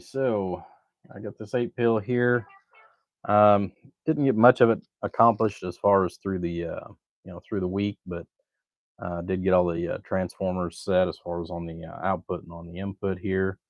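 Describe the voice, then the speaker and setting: A man with a very deep voice chats casually as he tinkers at his workbench. His delivery is folksy, rambling and laid-back. The sound is dry and close, with a slightly dull, lo-fi edge. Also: no room sound. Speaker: livestream host, hobbyist amplifier builder. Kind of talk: casual livestream chat while working at a bench.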